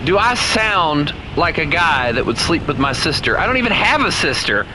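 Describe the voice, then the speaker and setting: A young man streams a farming game, joking and teasing his chat, his voice sitting a little high. He bursts out in mock exasperation now and then. A clear hiss of noise runs under his desk-mic audio. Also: big pitch swings, moderate pace. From Southern United States. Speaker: gaming streamer. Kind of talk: casual live-stream chat with viewers.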